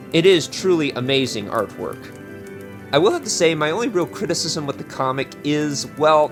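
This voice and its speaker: A man's voice: mid-range voice, a bit bright. A man hosting a show, giving casual review commentary to his viewers. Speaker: host and reviewer. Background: music.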